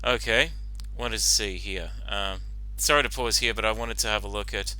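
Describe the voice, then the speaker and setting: A man giving casual reaction commentary as host, talking in a halting, rambling way with lots of filler phrases. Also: lowish voice, fairly even intonation, pushed and bright, faint music behind. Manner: halting, rambling, frequent "you know". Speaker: host giving commentary on a fan-fiction reading.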